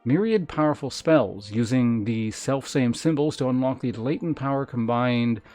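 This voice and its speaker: A man streams a game in an average-pitched voice. He talks informally, moving between reading on-screen text and thinking aloud. Music plays clearly behind his voice. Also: normal speed, darkish tone.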